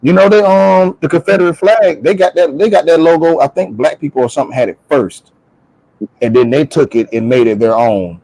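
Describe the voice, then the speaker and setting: A man's voice: fairly high pitch, fairly quick, dark tone, dry recording, low-quality recording. Emphatic American man, dry close sound. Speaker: panelist on a talk show. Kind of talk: casual opinionated group discussion.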